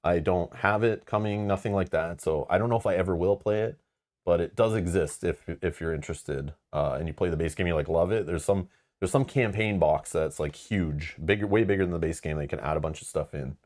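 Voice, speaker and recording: A man's voice: low-pitched, brisk, a little mellow. A man hosting a live board game stream, talking in a casual, rambling way with lots of fillers and frank asides. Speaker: board game channel host.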